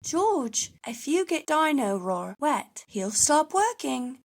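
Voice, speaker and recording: A reassuring storybook mother pig, read by a woman. She sounds bright and soothing, with a lilting, slow delivery. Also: sits high, big pitch swings, voice pushed up, full character voice.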